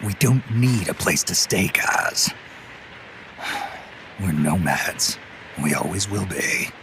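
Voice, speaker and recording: A battle-worn mercenary leader in a video game cutscene. The voice is low and heavily gravelled, the phrasing terse and guarded. Clear rumbling noise sits behind his weary words. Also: lively intonation, slow, heavily acted. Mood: weary, wary. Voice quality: gruff, gravelly rasp. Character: gruff, world-weary legendary mercenary commander.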